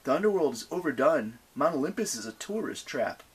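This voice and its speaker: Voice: mid-range voice, sing-song, brisk, breathy edge, pitched above the performer's own voice. A narrator voicing a wary teenage demigod boy who talks casually and plays along, in an audiobook story. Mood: warming up. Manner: casual, laid-back slangy.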